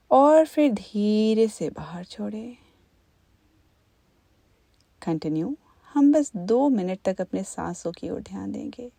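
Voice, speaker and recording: Slow, softly creaky woman guiding meditation. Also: mid-range voice, no echo. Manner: calm, gentle, unhurried instruction. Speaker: meditation instructor. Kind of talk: guided meditation.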